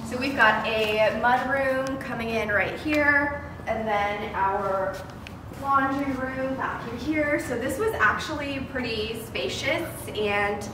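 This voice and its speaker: A woman's voice: a little high, slow pace. A young American woman giving an enthusiastic, casual house tour as a vlogger. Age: young adult. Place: bare framed house interior, indoors. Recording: echoey empty room, distant mic, clear noise.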